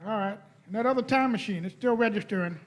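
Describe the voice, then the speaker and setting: Guy as cheeky young sidekick, higher voice, impatient casual questions, radio play. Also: fast, lightly acted. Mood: curious, pressing. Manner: colloquial, questioning. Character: cheeky, impatient young male space-travel companion. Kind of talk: live staged radio play.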